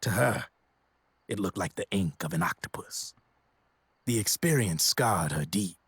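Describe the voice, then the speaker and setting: Low husky american arms dealer, slick slangy storyteller, video game cutscene. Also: male voice, lively intonation, unhurried, fairly bright tone, dry recording, heavily acted. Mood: grim storytelling. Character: streetwise, glib arms dealer telling grim war stories.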